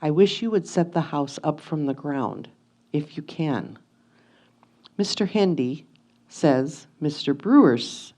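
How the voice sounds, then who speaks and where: A woman as a mellow-voiced, anxious pioneer wife. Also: deep voice, exaggerated voice. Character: anxious, curious 1850s wife writing to her absent husband. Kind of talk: reader's theatre letter reading.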